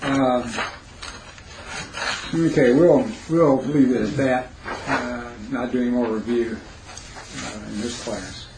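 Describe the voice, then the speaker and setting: A man with a raspy, hoarse voice teaching a Bible class, pausing to quiz his students. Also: medium pitch, fairly even intonation. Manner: halting, questioning teacher who pauses to quiz the class. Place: classroom, indoors. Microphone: recorder set near the speaker. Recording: roomy classroom recording, faint noise.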